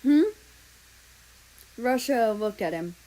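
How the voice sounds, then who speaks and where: A woman reading fan fiction aloud as an expressive narrator. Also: mid-range voice, a little slow, low-quality recording. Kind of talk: expressive storybook-style narration.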